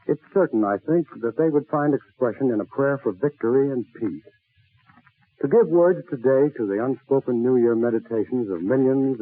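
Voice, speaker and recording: An American man announcing in a formal 1940s radio style, solemn, measured and oratorical, on an old broadcast. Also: mid-range voice, breathy edge, narrow, tinny audio.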